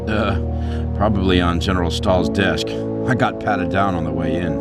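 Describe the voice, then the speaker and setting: A man plays a wisecracking American prisoner-of-war captain in a video game. His voice is on the low side, cocky and sardonic in an easy way, with loud music behind it. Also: fairly even intonation, normal speed, close to an everyday voice. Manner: sardonic, laid-back. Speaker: captured pilot. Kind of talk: video game cutscene acting.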